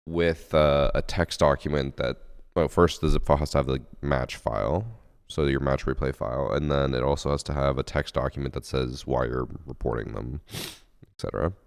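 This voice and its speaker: Very deep, gravelly, sniffly male streamer. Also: moderate pace, no echo, clean studio sound. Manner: laid-back, rambling, self-mocking. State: sniffly, congested from lack of sleep. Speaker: game streamer. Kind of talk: casual live-stream chat, reading chat aloud.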